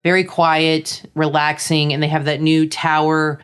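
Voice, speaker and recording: A woman's voice: low-pitched, little pitch movement, measured pace, smooth. Chatty middle-aged woman from Florida. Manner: chatty, opinionated, runs through lists. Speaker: co-host. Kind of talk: casual chat.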